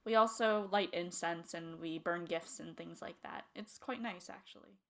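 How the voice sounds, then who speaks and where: A young woman with a slightly low voice talks in a relaxed, chatty way, sprinkling in "you know" as she reflects in an interview. Her delivery is easygoing and conversational. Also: normal speed, gravelly. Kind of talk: casual reflective interview talk.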